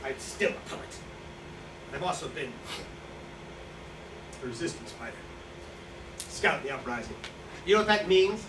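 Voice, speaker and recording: A distant, noisy recording of a staged play with a man acting a proud old drinker of a writer. His voice sits a little high and jumps around in pitch. He rambles, halts and defends himself boastfully. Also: lively intonation. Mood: proud, defiant. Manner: rambling, halting, self-justifying. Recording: distant echoey room, noisy draft recording.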